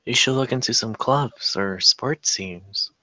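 Woman playing caring no-nonsense aunt, forties, forceful bright voice, dry table-read sound. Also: rumbling low, a little slow, dry recording, full character voice. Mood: caring, probing. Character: caring, direct forty-four-year-old aunt, an EMT. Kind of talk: scripted table-read acting.

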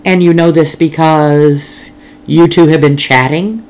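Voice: medium pitch, steady tone, slow, a little mellow. A reader doing a weary, skeptical dad. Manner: skeptical, dry pushback. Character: weary, stubborn middle-aged father. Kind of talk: audiobook character voice.

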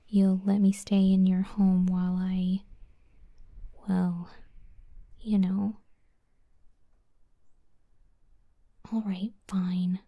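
A woman playing a proud, grudging scorpion monster girl in a soft, breathy, whispered ASMR roleplay. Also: medium pitch, no room sound. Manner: grudging, flustered, haughty.

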